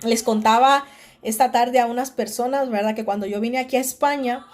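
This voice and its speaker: Honduran woman, warm-toned preacher style, informal with lots of "right?" asides; light music bed. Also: on the high side, steady tone, moderate pace. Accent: Honduran Spanish. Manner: conversational, many restarts and tag questions. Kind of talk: informal devotional talk.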